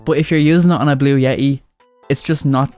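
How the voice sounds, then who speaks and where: A man's voice: mid-range voice, steady tone, a little fast, a little mellow, dry recording. A man talks through video clips in a loose, casual commentary. He is blunt and mocking and drops swear words freely, with faint music under him. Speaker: commentary YouTuber.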